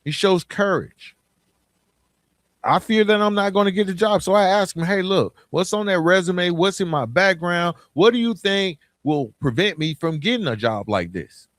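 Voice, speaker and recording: A man's voice: high voice, animated, moderate pace, fairly bright tone, dry recording. A middle-aged American man giving a motivational solo podcast talk, speaking colloquially and directly to listeners with emphatic repetition and rhetorical questions. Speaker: podcast host.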